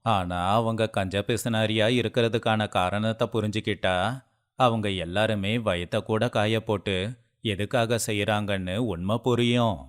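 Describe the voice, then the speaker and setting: A man voicing a kindly, thrifty old village grandfather in a moral story, gentle and forgiving. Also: on the low side, fairly even intonation, unhurried, lightly acted. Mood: amused forgiving.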